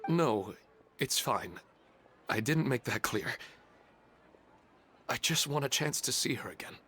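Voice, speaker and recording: A man voicing a gentle widower in a video game, soft-spoken and sincere. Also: mid-range voice, lively intonation, takes it slowly. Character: gentle widower longing to see his late wife. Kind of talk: game voice acting. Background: faint background music.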